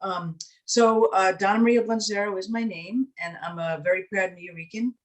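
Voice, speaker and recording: A woman's voice: mid-range voice, normal speed. New woman in her fifties, panel intro. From New York City, Puerto Rican heritage. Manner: warm, personal, with frequent "um". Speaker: university provost, panelist.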